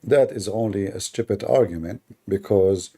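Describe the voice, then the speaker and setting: Husky low-voiced man hosting a live stream. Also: normal speed, mellow. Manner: chatty, laughs often, repeats words. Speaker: host of live debate stream. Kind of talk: casual live-stream talk.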